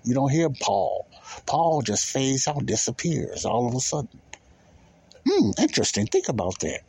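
A man's voice: medium pitch, huge pitch swings, moderate pace, creaky, dark tone, slightly rough. A man giving informal, emphatic Bible teaching as a podcast host, repeating key phrases and posing rhetorical questions.

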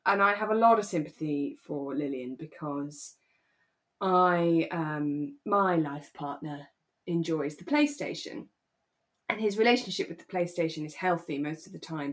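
A woman co-hosting a podcast, chatting casually and expressively as she reviews a drama. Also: lowish voice. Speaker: podcast co-host.

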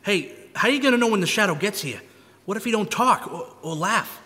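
A man's voice: high voice, lively intonation, brisk, pushed and bright. A man playing a jumpy, gullible gangster henchman in a live radio drama, talking in slangy, ungrammatical tough talk. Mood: nervy, gruff. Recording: echoey live hall, some noise.

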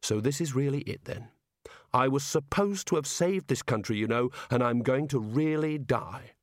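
A man's voice: medium pitch, animated, normal speed, no echo, studio quality, pitched above the performer's own voice, lightly acted. A man voicing a hapless, condemned wizard with a gloomy, sarcastic and hesitant manner, in a storybook reading. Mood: bitter, exasperated.

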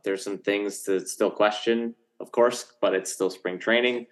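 A man's voice: on the low side, steady tone, measured pace. An American man co-hosting a podcast, chatting casually about sports in an easygoing, noncommittal way. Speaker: podcast co-host.

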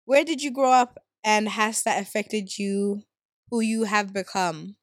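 A young woman from Sweden talks through her podcast questions in a loose, joking, slangy way, and her voice sits at an ordinary middle pitch. The sound is dry and close, with no room around it. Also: no room sound. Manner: animated, playful, slangy, quick to laugh. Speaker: podcast host. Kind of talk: casual solo podcast chat.

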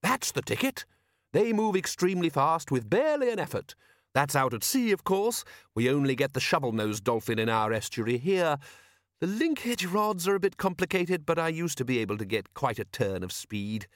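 Man putting on a high-voiced, gentle absent-minded inventor. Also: big pitch swings, normal speed, dry recording, clean studio sound. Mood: earnest, enthusiastic. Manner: mild, apologetic, rambling.

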